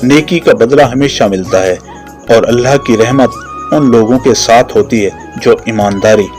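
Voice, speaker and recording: A man's voice: mid-range voice, unhurried, a little mellow, faint music behind. A man narrating a scripted moral story for a children's cartoon.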